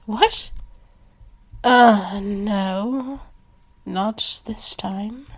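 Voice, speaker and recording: Female ASMR roleplay performer, slow, acted delivery. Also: mid-range voice, husky.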